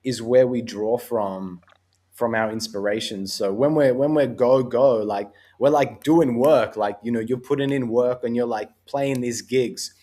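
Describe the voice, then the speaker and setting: A man's voice: medium pitch, steady tone, a little slow, warm tone. A man hosting a podcast, talking in a laid-back, agreeable and thoughtful way in casual conversation. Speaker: podcast host.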